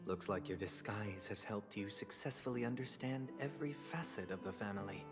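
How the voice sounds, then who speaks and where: Male actor as icy composed schemer, lowish voice, haughty, measured menace, game dialogue, music underneath. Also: lightly acted. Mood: icy, deliberate. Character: cold, suspicious male authority figure with menacing composure. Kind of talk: video game voice acting. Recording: clear music behind the voice.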